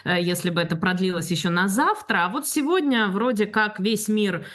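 A woman's voice: mid-range voice, moderate pace, fairly bright tone. A woman in a political discussion, speaking casually and hesitantly with fillers.

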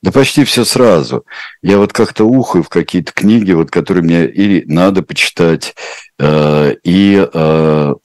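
Very deep-voiced man, hesitant and thoughtful. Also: measured pace, darkish tone, no echo. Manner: hesitant, thoughtful, heavy with filler sounds. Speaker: translator answering viewer questions. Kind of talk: unscripted reflective answers.

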